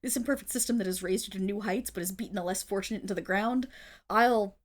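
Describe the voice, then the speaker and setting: A woman's voice: mid-range voice, quick pace, lightly acted. A woman playing a theatrical but bashful, lovestruck female supervillain in an ASMR roleplay audio drama. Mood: grand, menacing. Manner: theatrical villain declaiming that flips into flustered, affectionate chatter.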